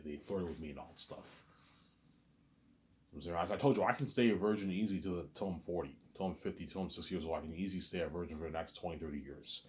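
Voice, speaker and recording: A man talking straight to his audience in a rambling monologue, repeating himself, stumbling over restarts, and letting his asides fade quietly. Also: low-pitched. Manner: repetitive, stammers on restarts, trails off quietly on asides. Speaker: solo vlogger.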